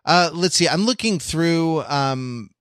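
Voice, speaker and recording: A man chatting casually as a podcast co-host, speaking in a halting, stop-and-start way as he searches for words. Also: a little high, lively intonation, fairly bright tone, no room sound, studio quality.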